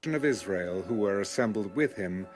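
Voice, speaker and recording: A man reading scripture as a scripted audio Bible narration, in a measured, formal way. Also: fairly low pitch, lively intonation. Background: music under the reading.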